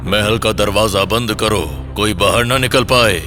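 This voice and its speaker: A man's voice: fairly low pitch, measured pace, music in the background, natural voice. A man playing a threatening, commanding masked henchman in an audio drama.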